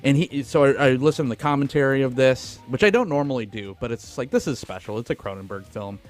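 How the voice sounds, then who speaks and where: A man's voice: mid-range voice, animated, fast. Male podcast host, mid-range voice, casual and sweary movie talk, music underneath. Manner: swears freely, crude joking. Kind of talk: casual film chat.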